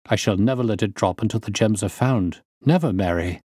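A man's voice: lowish voice, a little fast, a little mellow, breathy edge, dry recording, studio quality. A man voicing a stern, impatient older banker in an audiobook, formal and blustering. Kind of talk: audiobook character reading.

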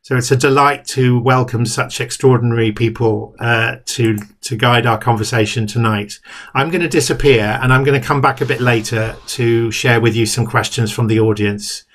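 A man's voice: medium pitch, fairly even intonation, moderate pace, fairly bright tone. Older man introducing guests. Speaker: coordinator and introducer. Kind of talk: event introduction. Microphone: video call.

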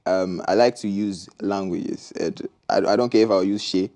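A young man, a musician guest chatting in a relaxed, easygoing way in a TV studio. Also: deep voice, normal speed. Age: young adult. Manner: relaxed, laid-back, frequent "you understand?". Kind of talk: casual interview chat. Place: TV studio set, seated on sofa. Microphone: lapel mic.

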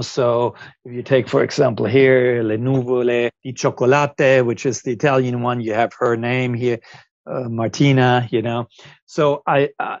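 A man in his forties with a German accent, a guest author speaking in a casual video-call interview, thoughtful and halting with many ums. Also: mid-range voice, no echo, lo-fi sound. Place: home office, indoors. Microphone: earphone headset on video call.

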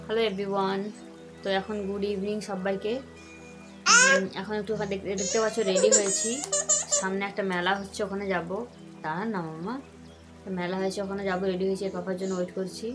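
A young woman chats straight to her viewers, slipping English words into her talk. She records on a phone held at arm's length in a small room at home. Music plays clearly behind her voice. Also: mid-range voice, steady tone, fast talker, long pauses. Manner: chatty, addresses viewers directly, mixes in English words. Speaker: vlogger. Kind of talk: casual vlog narration to camera. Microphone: phone camera held at arm's length.

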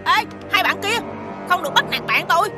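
A high-pitched, mischievous schoolboy voice for a children's cartoon. It is animated, feisty and childlike, with children's music clearly behind it. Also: female voice, brisk. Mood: bold.

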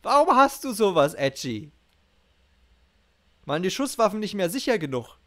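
A man's voice: high-pitched, very animated, normal speed, a bit bright. A middle-aged man giving casual game commentary on a headset, musing incredulously as he goes. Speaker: streamer. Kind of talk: casual game commentary, reading dialogue aloud. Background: game music.

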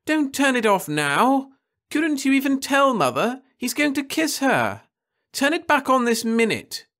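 A man's voice: extremely high pitch, sing-song, a little slow, fairly bright tone, pitched above the performer's own voice, heavily acted. A man voicing a wailing, petulant young woman. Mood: pleading, whiny. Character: whiny, sulky nineteen-year-old girl.

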